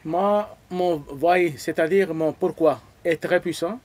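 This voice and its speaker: A man of about seventy, from Pakistan and speaking, answering interview questions in an earnest, halting way and often repeating words as he searches for them. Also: a little high, fairly even intonation, darkish tone. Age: around 70. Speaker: interview guest.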